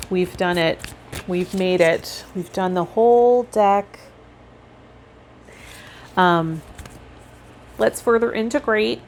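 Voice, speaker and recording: A woman vlogging casually and reflectively to her viewers, rambling a little and pausing mid-thought, with some guided meditation cues. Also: medium pitch, slight background noise. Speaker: host of a tarot vlog and healer.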